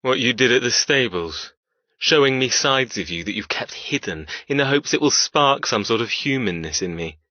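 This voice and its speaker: Man acting a cold, forceful, menacing captor. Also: mid-range voice, lively intonation, normal speed, no room sound, dull audio. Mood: cold, warning. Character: cold, menacing male captor, cruel and taunting. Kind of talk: audiobook dramatic dialogue.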